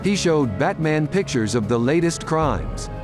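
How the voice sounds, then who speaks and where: Higher-voiced male story narrator over loud music. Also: expressive, a little slow. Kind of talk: scripted story narration for audio drama.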